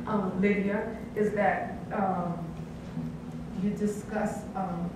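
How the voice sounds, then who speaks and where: A woman of Haitian background, a family counselor on a panel, talking earnestly with many um fillers. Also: lowish voice. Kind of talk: unscripted panel talk. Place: college event room. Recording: echoey room, distant mic, background hiss.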